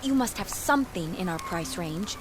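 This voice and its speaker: An actress playing a prim, practical young wife in a radio drama. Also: female voice, lowish voice, animated, normal speed, heavy background noise, natural voice. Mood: puzzled, insistent.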